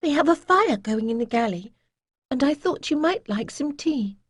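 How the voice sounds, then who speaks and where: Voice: high-pitched, lively intonation, moderate pace, no room sound, clean studio sound, voice pushed up. A narrator doing an anxious young acolyte woman. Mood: polite. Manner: tense, pleading questions.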